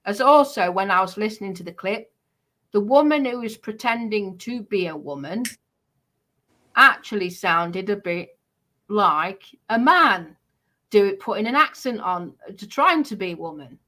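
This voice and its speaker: Female, bright forceful voice at medium pitch, casual explanatory chat, halting, with repeated phrases. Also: expressive.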